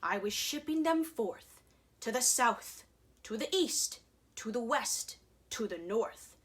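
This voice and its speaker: A woman performer reading a rhyming storybook aloud in an expressive, dramatic, theatrical way. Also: a little high, takes it slowly.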